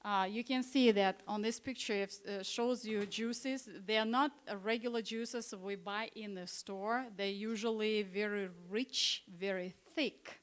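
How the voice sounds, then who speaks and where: Smooth-voiced woman presenting health books. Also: medium pitch, fairly even intonation, measured pace, few pauses. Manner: conversational, with frequent hesitations and restarts. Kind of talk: informal presentation at a church seminar. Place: church hall.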